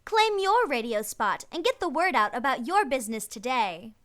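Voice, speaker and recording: A woman's voice: high-pitched, big pitch swings, normal speed, fairly bright tone. A woman announcer reading an upbeat scripted radio promo. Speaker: advert announcer.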